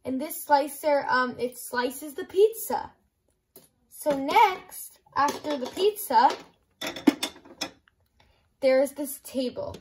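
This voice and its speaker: A young woman with a high voice talks casually through a toy review. She explains things simply and enthusiastically, often saying "like". She is recorded in a room at home, with noticeable noise behind her. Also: lively intonation, slow, pauses often, darkish tone.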